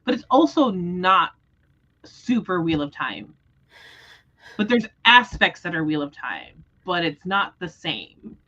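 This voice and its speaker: A woman's voice: fairly low pitch, sing-song, slow pace, sharp tone, dull audio. A woman hosting a book readalong livestream, talking casually about books. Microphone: livestream microphone.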